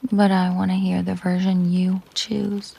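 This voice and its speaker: A woman playing a possessive, menacing mafia boss girlfriend in an intimate audio roleplay, speaking in a controlled, hushed, deliberate way with a breathy, husky voice. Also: lowish voice, completely flat delivery, heavily acted. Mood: quietly menacing. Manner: slow, deliberate, hushed, often breathy near-whisper.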